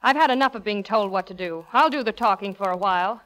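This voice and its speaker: A woman's voice: medium pitch, a bit bright, close to an everyday voice, low-quality recording. A woman playing a spirited, self-assured young magazine columnist in 1940s Hollywood radio style. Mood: exasperated, defiant. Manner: poised, brisk, self-assured.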